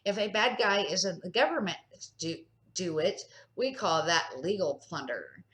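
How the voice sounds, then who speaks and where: A woman's voice: on the low side, animated, unhurried, a bit bright. A woman reading a children's book as a kindly, earnest man who instructs two children with emphasis. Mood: earnest, instructive. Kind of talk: children's picture-book read-aloud.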